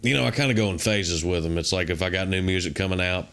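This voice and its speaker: Deep-voiced young man, laid-back. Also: fast talker, gravelly, a bit bright, smooth. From Kentucky. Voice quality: naturally deep voice. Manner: laid-back, drawling, many fillers like "man" and "uh". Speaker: country singer, guest. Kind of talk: casual interview chat.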